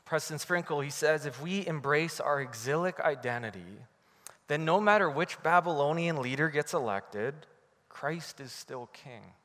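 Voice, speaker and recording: A man's voice: fairly high pitch, a little slow. Canadian man preaching in a reverberant hall. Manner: storytelling, animated, laughing at own story. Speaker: pastor. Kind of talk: sermon.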